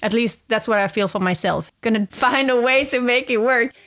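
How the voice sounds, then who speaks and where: A woman's voice: fairly high pitch, moderate pace, a bit bright. Woman in her forties, casual chat. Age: 40s. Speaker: podcast guest.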